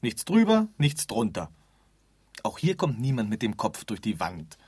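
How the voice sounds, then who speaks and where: An actor playing an offhand, forgetful keeper of an empty afterlife in a radio drama, rambling and groping for words. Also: male voice, medium pitch, wildly swooping pitch, a little slow, a little mellow, breathy edge, no room sound, clean studio sound, heavily acted. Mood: offhand, explaining. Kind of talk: theatrical radio drama dialogue.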